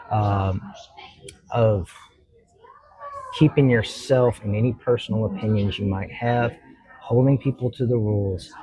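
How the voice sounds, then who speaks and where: A man's voice: lowish voice, warm tone, breathy edge, low-quality recording. A man, a judge answering interview questions in an earnest, reflective way and explaining at length. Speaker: judge, talk show guest.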